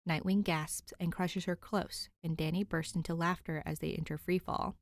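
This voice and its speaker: A woman's voice: on the low side, fairly even intonation, normal speed, no room sound. A woman reading a scripted story aloud as an audiobook narrator. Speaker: podfic narrator.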